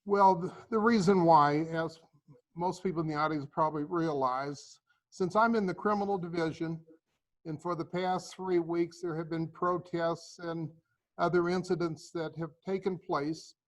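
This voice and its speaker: Pria bersuara agak tinggi dan berhati-hati. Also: male voice, a little high, fairly even intonation, low-quality recording. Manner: careful, measured explanation. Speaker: panelist, judge. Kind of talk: panel discussion. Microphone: video call.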